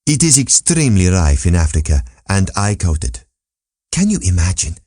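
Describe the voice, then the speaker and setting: A man's voice: deep voice, big pitch swings, moderate pace, dark tone, no room sound, clean studio sound. A man voicing a world-weary old woman who recounts her misfortunes in a theatrical, emphatic way for an audiobook. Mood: emphatic, boastful.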